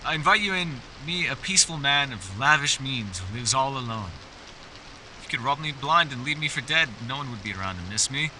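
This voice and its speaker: A man's voice: mid-range voice, lively intonation, fairly quick, sharp tone, slight background noise, rough recording. Guy doing a posh-worded playful elf scholar for fantasy asmr, super swoopy voice, rain and thunder going behind him. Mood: teasing. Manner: theatrical, genteel, old-fashioned formal phrasing. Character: mysterious, courteous, playful male elf scholar living alone. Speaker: voice actor. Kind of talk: ASMR fantasy roleplay addressed to the listener. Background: rain and thunder sound effects.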